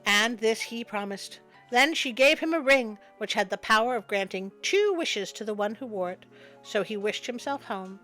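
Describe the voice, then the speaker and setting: High-voiced woman reading a wry folktale. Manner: expressive, wry. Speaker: storyteller, host. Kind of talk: storybook narration with wry asides.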